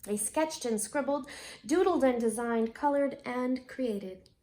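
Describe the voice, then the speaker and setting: A woman with a high voice reads aloud as a teacher to children. She takes her time, is expressive, and lands key words deliberately. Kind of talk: read-aloud lesson for children.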